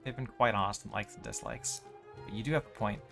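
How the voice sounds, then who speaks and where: A man's voice: fairly low pitch, a little fast, gravelly, bright, forceful tone, faint music behind. A man voicing a proud, worried female musical theater performer with formal phrasing, from game dialogue. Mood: resigned, worried. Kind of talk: reading game dialogue aloud.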